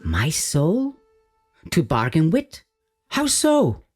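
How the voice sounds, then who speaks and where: A man's voice: fairly high pitch, wildly swooping pitch, extremely slow, faint music behind, voice pushed up, exaggerated voice. A man voicing an anxious, penniless village tailor in an audio folk tale, with a pleading, questioning, theatrical manner. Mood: intrigued, questioning.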